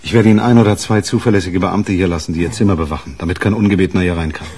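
An actor playing a weary, brusque police detective chief in a naturalistic radio crime drama. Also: male voice, sits low, racing, a little mellow, slightly rough. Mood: businesslike. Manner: curt, probing, businesslike. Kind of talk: naturalistic German radio play acting.

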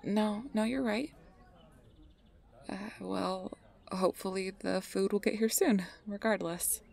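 A woman's voice: mid-range voice, fairly even intonation, slow, creaky, darkish tone. A woman playing an obsessive, sweet-talking fey lover in an ASMR roleplay, speaking intimately and often breathily. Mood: worried, evasive. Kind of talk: ASMR roleplay, close personal attention.

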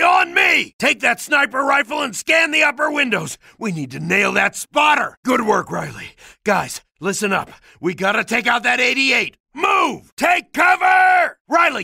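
An actor playing a tough, gruff American army sergeant in a war video game, commanding and brusque as he barks combat orders with urgency. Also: very high-pitched, pushed and bright, no room sound, studio quality, full character voice. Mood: stern, commanding. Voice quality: gruff, gravelly. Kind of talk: shouted video game battle dialogue.

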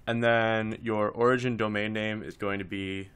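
A man's voice: on the low side, level tone, moderate pace, fairly bright tone, clean tone. A man presenting a casual screencast tutorial, talking informally through each step as he instructs.

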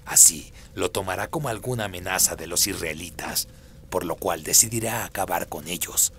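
Casting: man as obedient demon minion, radio play. Very low pitch, measured, submissive delivery. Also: pushed and bright, slight background noise. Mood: scheming. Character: deferential demon servant reporting to his dark master.

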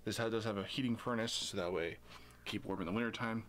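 A man's voice: fairly low pitch, fast talker, fairly bright tone. A man in his thirties casually showing viewers around an RV, talking loosely with lots of fillers, into a handheld camera mic. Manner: loose, rambling with many fillers. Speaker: vlogger. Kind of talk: casual walkthrough vlog. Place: inside an RV, then outdoors on its roof.

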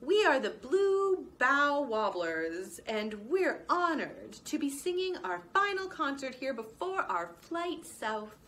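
A gracious bluebird singer addresses a crowd in a lifted, showy voice, as a woman puts it on. Slow, careful storybook reading. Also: high voice, big pitch swings, fairly bright tone, pitched above the performer's own voice, heavily acted.

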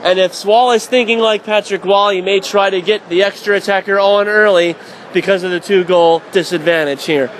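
A man calling radio play-by-play of a hockey game, climbing to shouts on shots and saves. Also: sits high, fairly even intonation, normal speed, a little hiss or hum. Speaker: play-by-play announcer.

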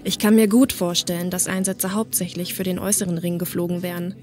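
A woman's voice: lowish voice, steady tone, fast, darkish tone, natural voice. A woman playing a polite, direct newcomer to a police unit in a German audio drama.

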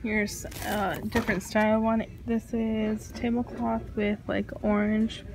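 A woman's voice: medium pitch, flat delivery, a little slow, heavy background noise. Young woman gushing over store finds. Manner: chatty, gushing over items and reading prices aloud. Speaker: vlogger. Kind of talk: casual shop-with-me commentary. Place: home decor stores, indoors. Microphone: handheld phone camera.

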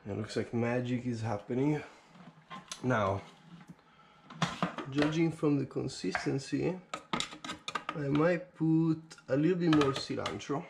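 A young man talks through his cooking in a home kitchen in an upbeat, rambling way, with plenty of fillers. He is recorded on a head-mounted action camera, and clear background noise and clicks run under his voice. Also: medium pitch, slow pace, long pauses. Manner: chatty, upbeat, thinking aloud with fillers. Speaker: home cook vlogger. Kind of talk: casual cooking commentary.

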